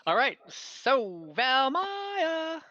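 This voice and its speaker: A man's voice: very high-pitched, huge pitch swings, very slow, sharp tone, no echo, lo-fi sound. A man acting as game master, casually running an online tabletop role-playing game.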